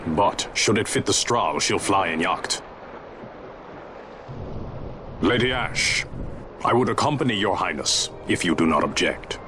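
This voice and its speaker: An actor playing a gruff, blunt sky pirate fleet leader in a video game, with brusque authority and old-fashioned phrasing. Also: male voice, deep voice, lively intonation, normal speed, background noise. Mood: measured, frank. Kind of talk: dramatic video game cutscene acting. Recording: loud game score behind dialogue.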